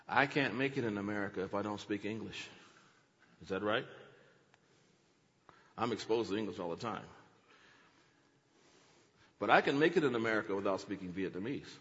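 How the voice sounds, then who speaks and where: A man's voice: mid-range voice, fairly bright tone, in a large hall, dull audio. A man preaching a teaching sermon, dramatic, acting out quotes with big swings in his voice.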